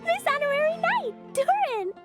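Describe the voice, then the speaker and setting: A woman's voice: extremely high pitch, very animated, moderate pace, over loud music. A woman voicing a bubbly, bossy little girl adventurer in a video game, eager and exclamatory. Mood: bubbly, excited.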